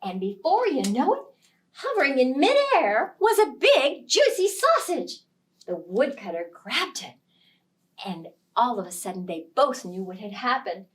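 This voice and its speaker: Animated woman storyteller, slightly high voice. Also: unhurried, recorded from a distance. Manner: expressive, dramatic delivery with emphasized key words. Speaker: children's librarian storyteller. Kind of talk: oral storytelling for young children.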